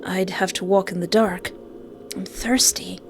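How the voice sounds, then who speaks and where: Expressive woman narrating a horror visual novel. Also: mid-range voice, unhurried, over music. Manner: expressive, joking asides in exclamations. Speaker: video game player reading the story aloud. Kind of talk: dramatic read-aloud of a visual novel with playful reactions.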